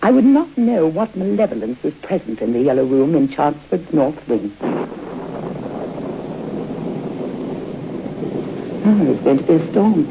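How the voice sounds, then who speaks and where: Haunted-house matriarch, old and imperious, formal theatrical phrasing, very varied intonation, dull vintage radio recording. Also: female voice, low voice, big pitch swings, a little fast, vocal fry, husky, full character voice. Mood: solemn. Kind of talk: old-time radio horror drama acting.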